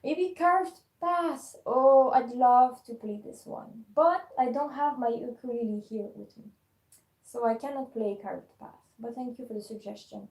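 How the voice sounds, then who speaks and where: French-accented woman, raised pitch, gravelly and mellow, chatting live on her phone in an echoey room. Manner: hesitant, many pauses and fillers. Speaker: musician live-streaming. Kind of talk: casual live-stream chat.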